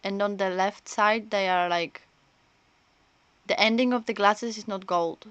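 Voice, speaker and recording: A woman's voice: mid-range voice, steady tone, measured pace, fairly bright tone, clean tone, dry recording, dull audio. A woman doing casual live gameplay commentary, chatting and thinking aloud as she streams. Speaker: game streamer.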